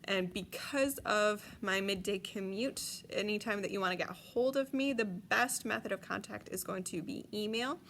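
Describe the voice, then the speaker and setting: A woman's voice: medium pitch, normal speed, creaky, few pauses, fairly bright tone, slight background noise. A woman in her late twenties from the Chicago area, a French teacher giving parents a friendly, enthusiastic video message with frequent ums. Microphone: tablet device.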